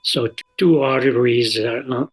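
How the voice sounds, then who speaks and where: A middle-aged or older man recounts his own experience in unscripted, plain-spoken recollection, calm and halting. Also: mid-range voice, husky. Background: soft guitar music.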